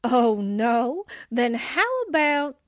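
A woman's voice: fairly high pitch, big pitch swings, extremely slow, background noise, full character voice. A woman playing a wisecracking, sardonic newspaperwoman, full of slangy quips, in 1940s radio drama style. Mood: teasing, sardonic. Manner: sassy, slangy exclamations and quips.

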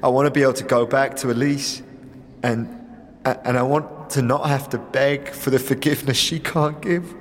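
A man's voice: medium pitch, steady tone, natural voice. A man as a despairing half-elven warrior woman, reverberant. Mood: grieving, bleak. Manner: bleak, halting, solemn. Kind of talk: audio drama acting. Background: music.

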